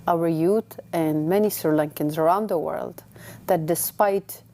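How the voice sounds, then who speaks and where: A woman's voice: low voice, measured pace, mellow. A woman born in Afghanistan, a humanitarian guest answering interview questions in a confident, upbeat way. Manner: confident, upbeat, laughs readily.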